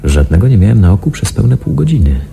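An actor playing a watchful investigator who muses to himself in a measured radio play monologue. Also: male voice, fairly low pitch, very animated, exaggerated voice. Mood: calculating, intent. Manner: musing, deliberate, measured. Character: watchful, analytical undercover investigator thinking to himself. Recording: music clearly under the voice.

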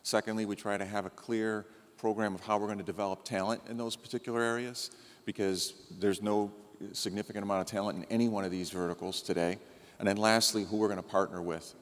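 A man's voice: on the low side, steady tone, fast talker. A man in his fifties on a conference panel, laying out his points in a structured order. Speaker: panelist, IT firm executive. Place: large conference hall stage. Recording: long hall reverb.

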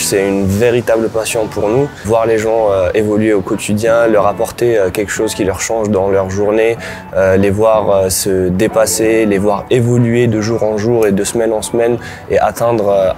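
A young man with a somewhat low voice, speaking sincerely as a gym co-owner and coach. He pauses often on fillers between phrases. Clear music runs behind him. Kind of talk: interview testimonial. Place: large indoor gym. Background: background music.

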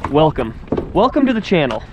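A chatty, excitable man talks straight to his viewers while he fishes from a kayak on a river. He sounds tired. His action camera picks up clear wind and water noise around him. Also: a little high, brisk, highs cut off. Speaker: fishing channel host. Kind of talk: casual on-the-water vlog narration. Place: outdoors on a river, in a kayak and on the bank.

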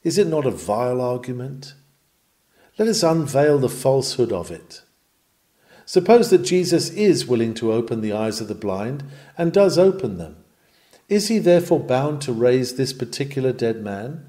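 A man's voice: mid-range voice, big pitch swings, normal speed, warm tone. A man reading a historic sermon aloud in an earnest, oratorical and rhetorical manner, with emphatic delivery.

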